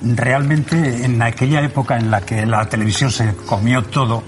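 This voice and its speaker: A man's voice: medium pitch, fairly even intonation, moderate pace, a little hiss or hum. A man with an accent from Spain, an amateur radio actor answering interview questions in a conversational way.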